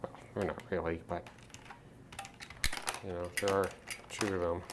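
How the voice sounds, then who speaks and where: A man giving casual, unscripted commentary on a hands-on repair, halting and musing to himself as he works. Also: sits low, flat delivery. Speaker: host of a hobby radio repair video. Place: at a desk, indoors. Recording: clear background noise, handling clicks.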